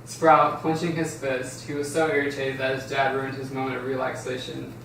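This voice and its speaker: A man's voice: medium pitch, completely flat delivery, normal speed, a bit bright. A man narrating stage directions at a script table read, recorded in an echoey room from a distance. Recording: echoey room, distant mic, faint noise.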